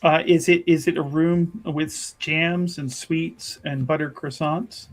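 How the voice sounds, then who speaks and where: A woman's voice: low-pitched, fairly even intonation, measured pace. A woman chatting casually and jokily as a player in a tabletop roleplaying game. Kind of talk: casual, joking game chat.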